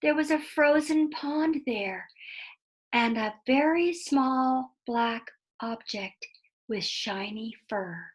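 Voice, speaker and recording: A woman reading a children's story aloud in a deliberate, explanatory way, with asides to young listeners. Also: high-pitched, rough recording.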